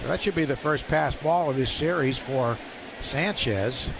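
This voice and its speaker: A man's voice: mid-range voice, a little slow, creaky. A man doing live radio play-by-play of baseball as an announcer, speaking in clipped phrases. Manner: clipped phrases, rising to excited calls on big plays. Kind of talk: live radio sports commentary. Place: ballpark broadcast booth. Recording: live stadium broadcast, loud background noise.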